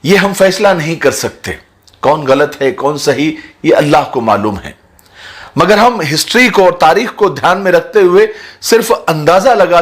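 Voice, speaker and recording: Casting: male commentator, noticeably high voice, earnest sermon-like address, measured and emphatic delivery. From India. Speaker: social commentator speaking to his video audience. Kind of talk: earnest explanatory talk, sermon-like.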